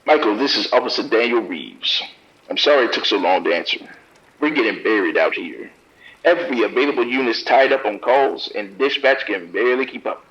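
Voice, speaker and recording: A man's voice: medium pitch, lively intonation, brisk, fairly bright tone. An actor playing an overwhelmed, grave policeman, blunt and commanding in a horror audio drama, heard over a dull phone line. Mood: weary, strained. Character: overwhelmed, grim, firm male police officer. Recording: dull, band-limited phone-line sound.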